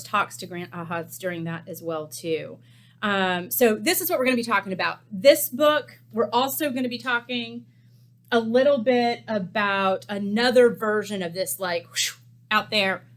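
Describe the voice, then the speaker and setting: A woman's voice: medium pitch, a bit bright, slight background noise. A woman in her fifties, a chef hosting a cookbook series, talking candidly to a desk webcam in a small cooking studio, with lots of ums. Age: 50s. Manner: chatty and candid, many ums. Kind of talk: casual chat to camera.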